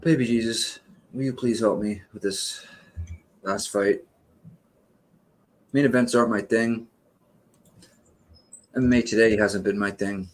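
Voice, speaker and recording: Loose, joking man betting out loud. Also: lowish voice, fairly even intonation, unhurried, pauses often. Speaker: podcast co-host. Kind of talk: casual sports watch-along chat.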